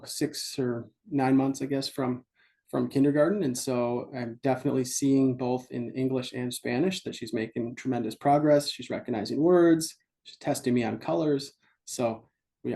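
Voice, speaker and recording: Thoughtful dad guest, gravelly and mellow, casual talk. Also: male voice, mid-range voice. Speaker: parent guest. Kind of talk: casual chat.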